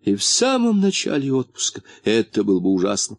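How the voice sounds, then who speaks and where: A man voicing an anxious, soft-hearted, tearful little storybook character who laments and stammers. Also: lowish voice, wildly swooping pitch, a little fast, no echo, studio quality, full character voice. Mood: stricken.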